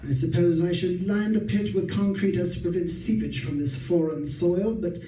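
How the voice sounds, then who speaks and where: A man's voice: sits high, normal speed, warm tone, a little hiss or hum, lightly acted. A man playing a mild, put-upon middle-aged professor and husband in an old-time radio suspense play. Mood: mild, evasive. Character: meek, henpecked middle-aged professor husband, quietly resentful. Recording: roomy, distant mic.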